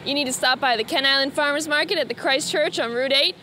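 A woman's voice: high-pitched, quick pace, pushed and bright, a little hiss or hum. A woman in her twenties giving scripted narration as a local TV reporter. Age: 20s.

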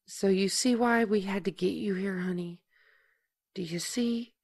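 Tender, protective big sister. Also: female voice, medium pitch, darkish tone, husky. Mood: pleading. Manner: tender, halting, emotional. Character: protective, tender older sister explaining family past. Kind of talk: audio drama dialogue.

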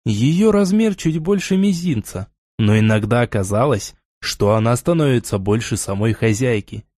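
A man narrating a fantasy novel in the first person, with measured, expressive storytelling. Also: medium pitch, no echo, clean studio sound. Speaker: audiobook narrator.

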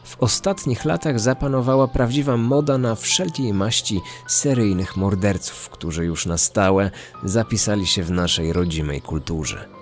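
Low-voiced male true-crime narrator. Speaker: podcast narrator. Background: background music.

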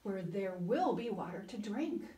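A woman voicing a kind, patient male kangaroo who gently explains and encourages in a storybook reading. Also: mid-range voice, animated, a little slow, close to an everyday voice. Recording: roomy, distant mic, soft cello music.

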